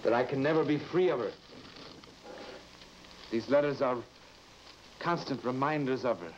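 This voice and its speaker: An actor playing a haunted, fearful husband in an old-time radio horror drama, speaking in a halting, melodramatic way and repeating words for emphasis. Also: male voice, medium pitch, close to an everyday voice. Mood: haunted, resigned. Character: tormented husband haunted by dead woman's letters, brooding and fearful. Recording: dull, noisy old radio broadcast recording.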